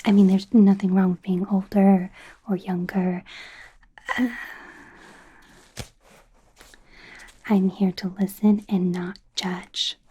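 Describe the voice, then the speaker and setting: A woman playing a friendly, awkward young werewolf doctor in an ASMR roleplay, speaking in a soft, breathy whisper with hesitant, casual rambling. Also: medium pitch, flat delivery, unhurried, slight background noise, lightly acted. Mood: sympathetic, awkward.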